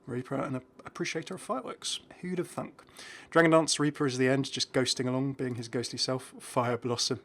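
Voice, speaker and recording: A man's voice: mid-range voice, steady tone, brisk, hardly any pauses, a bit bright. A man giving casual, enthusiastic game commentary in an informal, chuckly way. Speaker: video creator presenting game content.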